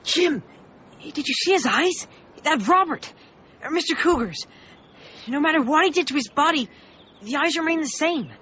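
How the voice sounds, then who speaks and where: High-voiced anxious boy. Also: expressive, moderate pace, pauses often, bright, forceful tone, highs cut off, slight background noise, full character voice. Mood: earnest, uneasy. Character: Will, anxious loyal boy narrating his adventure. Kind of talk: radio drama acting and narration. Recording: band-limited radio broadcast with music underneath. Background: dramatic music.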